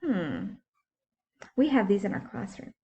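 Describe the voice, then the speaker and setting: A woman's voice: mid-range voice, wildly swooping pitch, measured pace, dull audio. Woman teacher reading to young kids, explains stuff simply and asks them questions, bit of noise and clicks on the recording. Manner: explanatory, talks directly to children with simple questions. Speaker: school teacher. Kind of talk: read-aloud science lesson for young children.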